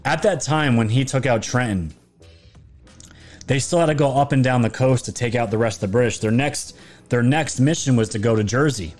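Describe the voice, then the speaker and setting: A man's voice: medium pitch, normal speed, darkish tone, faint music behind. A man with a sore, fading voice talking through a casual livestream monologue as a political commentator, rambling and emphatic, often restarting his phrases. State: throat sore, losing his voice.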